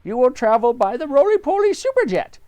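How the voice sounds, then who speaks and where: A man voicing a kindly, chatty bird who talks in a bright, reassuring way. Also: extremely high pitch, very animated, a little fast, dry recording, pitched above the performer's own voice.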